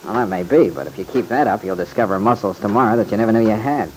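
A man's voice: lowish voice, quick pace, darkish tone, close to an everyday voice. An actor playing an easygoing, modest young country man with an American accent, folksy and a little bashful, in old-time radio drama. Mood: gently probing. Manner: folksy, slightly bashful, hesitant. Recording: noisy vintage radio recording.

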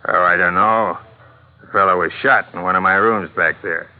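An actor playing a burly, sardonic dockside bartender in an old-time radio drama, with rough, slangy working-class speech. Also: male voice, low-pitched, steady tone, moderate pace, close to an everyday voice. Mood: gruff, warning. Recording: muffled, band-limited old radio recording.

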